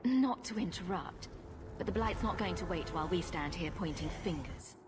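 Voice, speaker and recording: Casting: actress as wry female rogue, low-pitched and unflappable, fantasy game cutscenes. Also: animated, moderate pace, music in the background, natural voice. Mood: dryly wry. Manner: dry, wry humour. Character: sarcastic unflappable adult woman rogue, eldest sibling. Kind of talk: video game cutscene acting.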